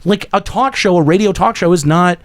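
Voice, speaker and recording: A man's voice: high-pitched, a little fast, noisy background. A man hosting a casual talk show, speaking in an emphatic, blunt, opinionated way that readily turns profane. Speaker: podcast host.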